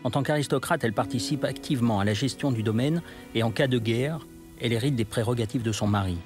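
A man narrating a historical documentary from a script, in a measured storytelling manner. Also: medium pitch. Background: music.

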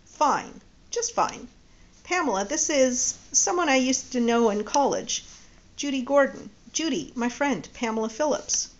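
A woman's voice: high voice, animated, a little slow, pitched above the performer's own voice. A woman voicing an earnest middle-aged man in a read-aloud story, on dull lo-fi audio. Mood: eager.

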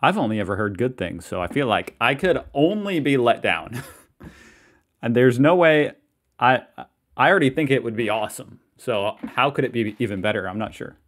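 A man's voice: medium pitch, expressive, moderate pace, fairly bright tone. A young American man hosting a reaction video, talking casually with rambling, self-questioning asides from a small room. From United States.

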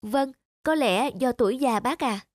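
A woman voicing a polite young bride-to-be, a customer in an animated moral story, with a courteous manner. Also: fairly high pitch, a little slow, over music, natural voice. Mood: disappointed, polite.

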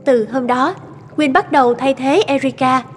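Female cartoon story narrator, high-pitched, scripted read over music. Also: normal speed, long reverb tail.

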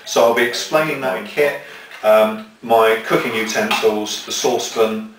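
A middle-aged man with a fairly low voice explains camping stoves step by step as he handles them. His voice rings around a bare, echoey room, caught by a mic set well back from him. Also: moderate pace, recorded from a distance, background noise. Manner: methodical, explains step by step while handling gear. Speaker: outdoor gear presenter. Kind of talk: hands-on equipment demonstration. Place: small indoor room with table of gear.

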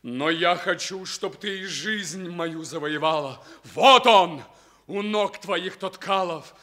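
An actor playing an ardent, lovelorn, noble young prince in fervent, declamatory radio theatre. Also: male voice, high-pitched, sharp tone, full character voice. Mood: anguished, pleading. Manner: declamatory, fervent, drawn-out delivery. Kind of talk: theatrical radio stage acting.

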